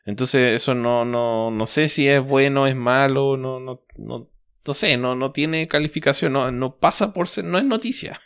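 A man co-hosting a podcast, hoarse from a cold, chatting casually and often calling his partner by name. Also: medium pitch, unhurried, a bit bright, no room sound, clean studio sound. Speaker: podcast co-host. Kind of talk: casual comics chat.

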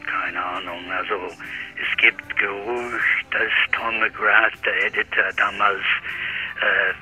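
An actor playing a vague older former hippie, answering questions haltingly on the phone in a radio drama. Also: male voice, on the low side, fairly even intonation, sharp tone, faint music behind, full character voice, poor audio. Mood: halting, recalling.

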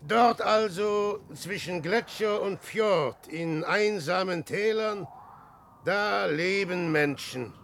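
An actor playing a boastful, self-centred, anguished wanderer in a theatrical, declamatory 1960s radio drama style. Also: male voice, sits high, takes it slowly, creaky, a bit bright, heavily acted. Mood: brooding, self-important. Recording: vintage radio recording, faint noise.

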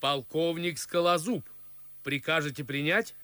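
Servant announcing stuff in an old russian radio play, formal, slightly high voice. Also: male voice, unhurried, pushed and bright, no echo. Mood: dutiful. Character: household manservant announcing a visitor. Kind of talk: theatrical verse radio drama.

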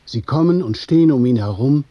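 A man's voice: mid-range voice, lively intonation, brisk, no room sound, lo-fi sound. A man narrating a scripted radio play in vivid literary prose. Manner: literary storytelling in vivid present tense.

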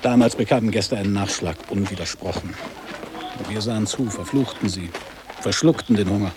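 A middle-aged man playing a nostalgic former boarding-school pupil in a radio drama, speaking in a reflective, deliberate, literary way. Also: on the low side, noisy background, close to an everyday voice. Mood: wistful, reminiscing.

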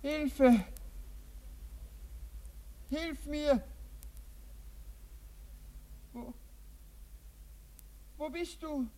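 An actor playing a desperate trapped man calling for help from far off with strained, drawn-out cries, in a radio play. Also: very high voice, warm tone, heavily acted. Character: distant companion Paul crying desperately for help. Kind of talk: German radio play acting.

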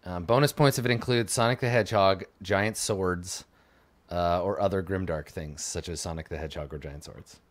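A man with a fairly low voice hosts a live stream sign-off. He talks in a chatty, upbeat way, padding his lines with "uh" and "yeah". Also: moderate pace. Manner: chatty, upbeat, many fillers. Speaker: host.

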